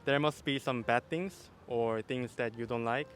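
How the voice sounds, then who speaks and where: A man's voice: medium pitch, steady tone, normal speed, a bit bright. A man hosting a street interview channel, introducing and asking questions casually outdoors. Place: outdoors on a university campus.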